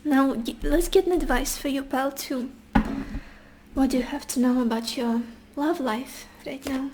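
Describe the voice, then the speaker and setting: A woman giving a casual pick-a-card tarot reading while losing her voice, speaking gently and reassuringly straight to the viewer. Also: high-pitched, fairly even intonation, a little slow, noisy background. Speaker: tarot reader.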